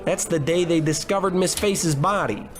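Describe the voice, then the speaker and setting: A man playing a bumbling, self-important private detective in a comic 1940s-style radio noir, blustering and deadpan. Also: fairly high pitch, moderate pace, crowd noise, natural voice. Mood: inquisitive.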